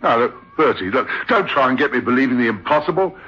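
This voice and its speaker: An actor playing a rattled, sceptical theatre manager in a radio drama, emphatic and repeating himself in agitation. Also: male voice, mid-range voice, lively intonation, fast talker, bright, forceful tone, slightly rough. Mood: agitated, sceptical. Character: rattled, sceptical theatre manager telling his uncanny tale. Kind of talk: radio drama acting and first-person storytelling. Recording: muffled old radio recording with music.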